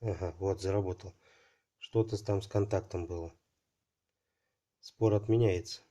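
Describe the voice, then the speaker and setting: Guy with a cold doing an unboxing, deep-ish soft voice, lots of pauses and filler. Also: steady tone. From Russia. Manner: halting, thinking aloud, many fillers like "so" and "there". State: voice affected by a cold. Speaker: channel host unboxing parcels. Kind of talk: casual unboxing commentary.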